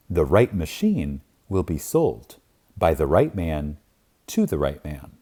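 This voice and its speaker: Male audiobook reader, deep and unhurried, reverent and emphatic, lets each pause land. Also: dark tone. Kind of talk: reading a spiritual self-help book aloud.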